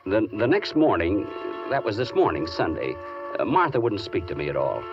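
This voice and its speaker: An American actor playing a mild, nervous, henpecked piano tuner in 1940s radio drama, hesitant and plaintive. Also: male voice, lowish voice, very animated, moderate pace. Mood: anxious narrating. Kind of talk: 1940s radio drama acting with first-person narration. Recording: dull vintage radio recording, music behind.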